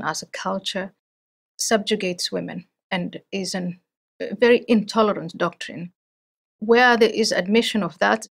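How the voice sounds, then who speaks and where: A middle-aged woman from Somalia, speaking as an interview guest in a thoughtful, measured way with frequent restarts. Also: mid-range voice, a bit bright. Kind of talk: interview conversation.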